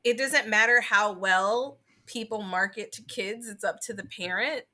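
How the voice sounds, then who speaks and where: A woman's voice: mid-range voice, measured pace, bright, forceful tone. A woman co-hosting a podcast, chatting informally with a joking manner. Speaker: podcast co-host. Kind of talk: casual chat.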